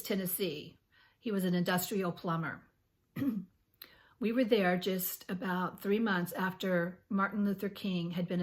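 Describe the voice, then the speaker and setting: A woman in her sixties with a scratchy, under-the-weather voice, reading her thoughts aloud earnestly and softly to her channel's viewers, now and then clearing her throat. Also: medium pitch. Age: 60s. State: scratchy voice from illness. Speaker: channel host. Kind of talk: reflective reading to camera.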